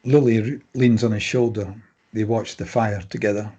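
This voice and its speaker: A man's voice: on the low side, steady tone, normal speed, dark tone, slightly rough. A man giving scripted narration, reading out the stage directions of a drama.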